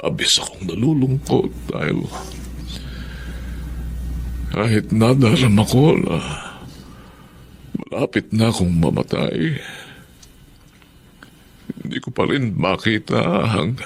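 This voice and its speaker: Male dying elderly tycoon: weak, breathy, remorseful, dragging pace, radio drama. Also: on the low side, lively intonation, a little hiss or hum. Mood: sorrowful. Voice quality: weak, breathy, halting delivery. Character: frail, dying old wealthy businessman, remorseful and sorrowful. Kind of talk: Filipino radio drama acting.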